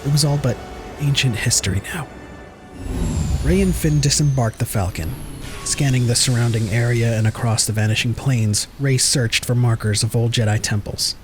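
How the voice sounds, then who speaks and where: A man's voice: medium pitch, moderate pace, over music. A man reading scripted dramatic narration as the narrator of an audio story.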